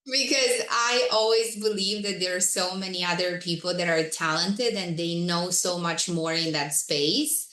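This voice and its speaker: A woman's voice: lowish voice, fairly even intonation, a little slow, hardly any pauses, a bit bright, echoey room, rough recording. A woman from Romania, a guest telling her career story in an interview with frequent laughs. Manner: reflective, laughs often.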